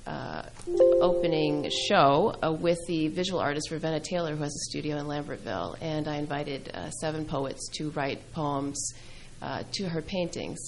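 Middle-aged woman poet, greek first language, lowish gravelly voice, lots of ums, soft music behind. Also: low-quality recording. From New England. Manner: thoughtful, many ums and uhs, pauses. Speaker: poet and filmmaker guest. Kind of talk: casual interview answers.

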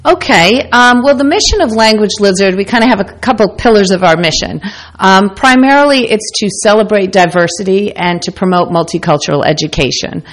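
A woman's voice: medium pitch, expressive, brisk. An American woman speaking conversationally as the guest in a radio interview. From United States. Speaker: interview guest, company founder.

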